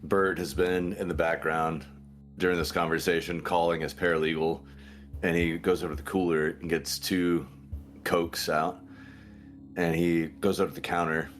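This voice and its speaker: A man's voice: sits low, flat delivery, moderate pace, faint music behind. A man playing a tabletop game, casually narrating what his character does.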